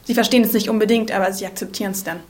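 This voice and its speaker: A twenty-year-old woman talking about herself in a thoughtful, deliberate way. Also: medium pitch, fairly even intonation. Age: 20s. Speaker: interviewee.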